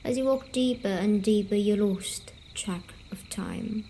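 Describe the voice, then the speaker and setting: A woman voicing a gentle, teasing female sleep paralysis demon, speaking softly and soothingly in an ASMR story-telling roleplay. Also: mid-range voice, a little hiss or hum, natural voice. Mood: hushed storytelling. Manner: slow, soothing, coaxing, softly spoken.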